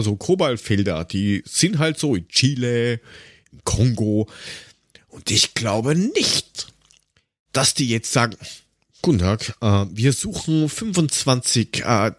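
A middle-aged man chatting casually on a humorous podcast in a halting, wry storytelling manner. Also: mid-range voice, sing-song, no echo, clean studio sound. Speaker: podcast host.

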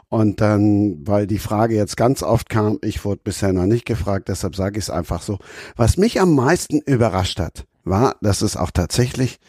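A man's voice: fairly low pitch, darkish tone, breathy edge, no room sound, clean studio sound. A man hosting a podcast, wrapping up the conversation in an upbeat, teasing way. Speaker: podcast host.

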